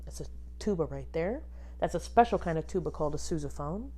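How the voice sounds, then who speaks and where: A woman teacher reading a picture book aloud to children expressively, pausing to ask them questions and point things out. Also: deep voice, husky. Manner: animated, addresses young children with questions.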